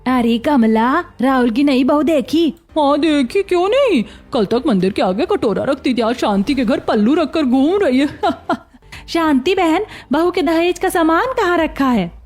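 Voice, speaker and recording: A woman's voice: sits high, expressive, a little slow, a little mellow. A woman puts on a middle-aged busybody neighbour from a cartoon. She is scornful and taunting, with music behind her words. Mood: mocking. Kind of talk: Hindi cartoon story voice acting.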